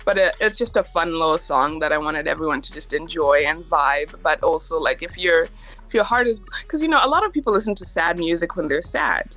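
A young woman with a slightly lower speaking voice talks through an interview in a loose, rambling way, sprinkling "like" everywhere and laughing easily. Her tone is casual and unpolished. Soft music sits faintly underneath. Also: a little fast. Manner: chatty, lots of "like" fillers, laughs easily. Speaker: recording artist, interview guest.

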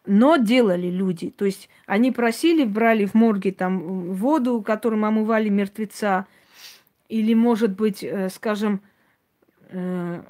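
A woman's voice: medium pitch, a little slow, warm tone. A woman giving an informal talk and answering listeners as she goes, in a blunt, opinionated, conversational manner. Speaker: host, self-described witch. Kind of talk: informal talk to live audience, answering comments.

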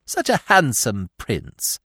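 A man voicing an excitable, self-important male squirrel with a fretful, protesting manner in a storybook. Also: high voice, normal speed, husky, no echo, studio quality, pitched above the performer's own voice, heavily acted. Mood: lamenting. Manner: pettish, protesting, lamenting.

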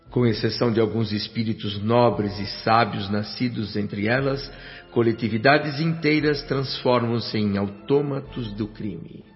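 A man's voice: medium pitch, lightly acted. An actor playing a solemn male narrator in a radio drama, grave and formal. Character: solemn, inquisitive male narrator, a newcomer spirit. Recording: muffled radio play with background music.

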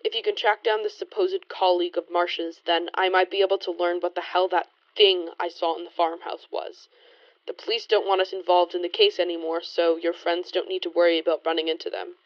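A woman's voice: on the low side, flat delivery, a little fast, no room sound, dull audio, lightly acted. A woman playing a determined, suspicious 1920s private investigator, pointed and articulate, in a period audio drama.

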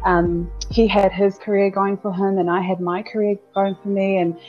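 A woman's voice: mid-range voice, flat delivery, moderate pace, a little mellow, soft background music. Candid woman in her thirties, lo-fi. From New Zealand. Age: 30s. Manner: chatty, candid, laughs often, repeats words. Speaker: guest, business owner. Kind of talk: casual interview chat.

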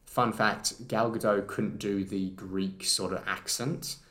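A man co-hosting a casual film rewatch podcast, relaxed and explaining his theories at length. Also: lowish voice, slow. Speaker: podcast co-host.

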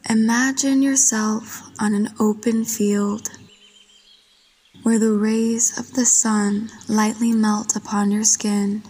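A woman narrating a guided meditation in a soft, breathy voice, with a soothing, gentle and unhurried manner. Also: mid-range voice, little pitch movement. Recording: long washy reverb.

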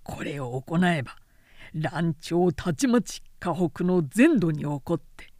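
A woman's voice: low voice, animated, slow pace, a little mellow, no room sound, studio quality. A woman voicing a stern, loyal male retainer who remonstrates formally and earnestly with his lord in an audiobook. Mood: grave pleading. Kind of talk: formal audiobook character reading.

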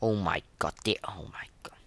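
A podcast host chatting casually and rating things, rambling and halting, often repeating words and phrases. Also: low voice, creaky, a bit bright, slightly rough. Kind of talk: casual chat rating game voice lines.

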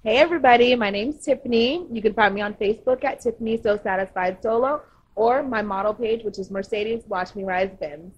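A woman's voice: on the high side, brisk, breathy edge, highs cut off. A woman from the United States, a model, answering interview questions frankly and laughing easily. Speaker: guest model.

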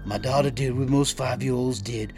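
An actor playing a desperate, protective father in a post-apocalyptic audio drama, narrating tensely and deliberately in the first person. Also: mid-range voice, steady tone, music in the background, lightly acted. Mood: defiant, tense.

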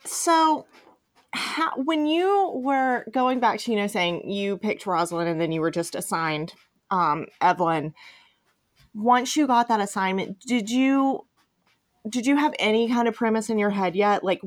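A woman's voice: mid-range voice, animated, a little slow, dry recording, studio quality. A woman hosting a podcast, chatting casually as she interviews a guest. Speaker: podcast host interviewing a fan fiction writer.

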